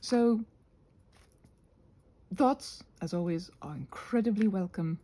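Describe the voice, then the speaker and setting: A woman with a soft, slightly husky voice rambles casually to viewers in an ASMR craft video, halting and self-conscious, drifting into asides. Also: medium pitch, animated. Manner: halting and self-conscious, trailing off mid-thought, many asides.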